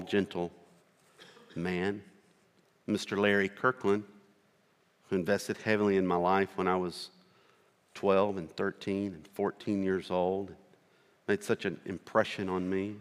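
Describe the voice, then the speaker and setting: A middle-aged man with a rural American accent preaching a sermon as a missionary, earnest and slow, with pauses between short phrases. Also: fairly low pitch. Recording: long reverb of a large hall.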